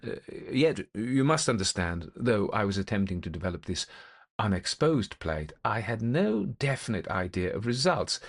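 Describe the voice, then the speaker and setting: Low-voiced man as a formal gentleman ghost-story narrator. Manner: formal, deliberate, old-fashioned phrasing. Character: male gentleman investigator recounting an eerie experience, methodical, intent.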